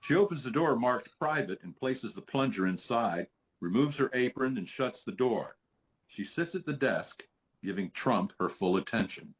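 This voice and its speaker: A man's voice: medium pitch, animated, moderate pace. Man, mid-range voice, scripted narration, stage directions, table read, slightly dull lo-fi recording.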